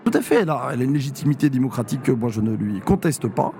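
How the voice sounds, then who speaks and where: A man's voice: medium pitch, sing-song, racing, dark tone, a little hiss or hum. A man, a district mayor from Normandy, answering in a radio interview, hesitant with many fillers but firmly emphatic. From Normandy, France.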